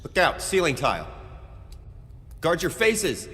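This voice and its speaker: A man voice-acting a gruff, commanding squad leader in a dramatic comic dub, barking terse, urgent orders. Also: fairly low pitch, lively intonation, brisk, natural voice. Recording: noisy, long reverb and background ambience.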